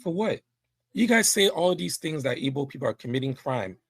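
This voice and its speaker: A man's voice: fairly high pitch, animated, fairly quick, fairly bright tone. A man arguing indignantly in an online debate, emphatic and repeating himself. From New York City. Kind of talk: heated debate.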